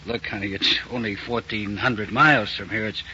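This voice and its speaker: An American actor playing a slangy, coaxing racketeer boss in a 1940s radio crime drama, speaking a little haltingly. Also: male voice, on the low side, steady tone, pushed and bright. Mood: coaxing, upbeat. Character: smooth racketeer boss eager to go straight. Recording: dull, noisy 1940s radio recording.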